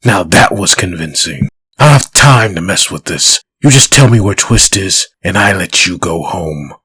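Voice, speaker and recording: A man voicing a menacing undead antihero in a comic dub, coldly threatening in a rough voice. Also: low-pitched, lively intonation, full character voice. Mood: cold menace. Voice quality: husky, rough voice. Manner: slow, quiet menace.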